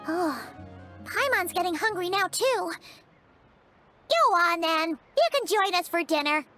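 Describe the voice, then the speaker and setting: Very squeaky childlike fairy sidekick. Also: female voice, a little slow, soft background music, exaggerated voice. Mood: teasing, chatty. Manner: refers to self in third person, expressive. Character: small childlike floating fairy companion, chirpy and blunt. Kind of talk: animated video game voice acting.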